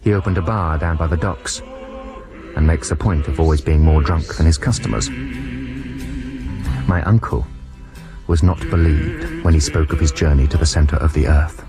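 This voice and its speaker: A deep, low male voice tells the tale of a middle-aged German professor looking back on his life. He narrates in a wry, melancholy radio drama manner, with loud music running underneath. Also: fairly even intonation, normal speed, long pauses.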